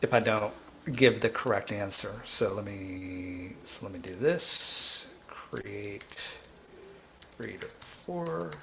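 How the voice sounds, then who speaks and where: A man hosting a live coding tutorial, thinking aloud in a halting, informal way and often restarting his sentences. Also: lowish voice, creaky, bright, forceful tone, a little hiss or hum. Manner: halting, self-interrupting, informal. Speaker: livestream host, programming instructor.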